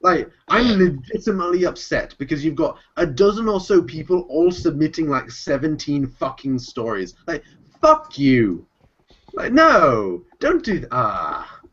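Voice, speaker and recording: A man's voice: fairly high pitch, very animated, unhurried, a bit bright. A man hosting a casual group voice chat, swearing freely, laughing often and working himself into rants. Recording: dull lo-fi voice chat.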